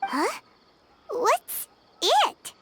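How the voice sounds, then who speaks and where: A woman voicing a tiny, excitable, childlike female fairy companion in a video game, with a squeaky, eager delivery. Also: drawn-out words, over loud music, exaggerated voice. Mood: curious.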